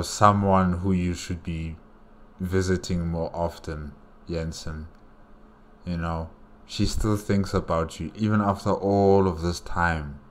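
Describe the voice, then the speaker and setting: A man hosting a casual solo reaction, musing aloud with emotional investment in what he watches. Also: low-pitched. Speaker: reaction video host.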